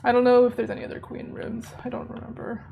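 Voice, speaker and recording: A slightly hoarse woman chatting casually to her viewers while she plays a game, rambling and laughing as she goes. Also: a little high, monotone, fast talker, narrow, tinny audio, a little hiss or hum. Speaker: streamer. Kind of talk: casual live-stream chat while gaming. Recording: game music playing behind.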